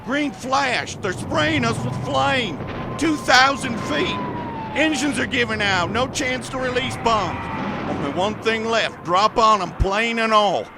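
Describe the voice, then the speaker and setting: An actor playing a dutiful army lieutenant piloting a bomber in a radio drama, terse and urgent over a noisy transmission. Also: male voice, very high-pitched, lively intonation, measured pace, full character voice. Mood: desperate.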